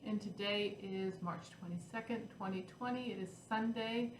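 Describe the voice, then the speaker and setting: A woman's voice: medium pitch, normal speed. A middle-aged woman with a stuffy head cold, chatting casually to her camera as a vlog host and then ranting in frustration. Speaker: flosstube host. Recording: echoey room with faint noise.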